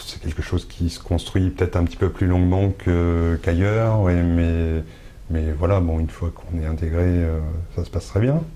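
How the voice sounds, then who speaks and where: A man's voice: sits low, fairly even intonation, moderate pace, dark tone, slight background noise. A man from Brittany, a shop owner, answering interview questions hesitantly, with many filler sounds and restarts. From Brittany, France.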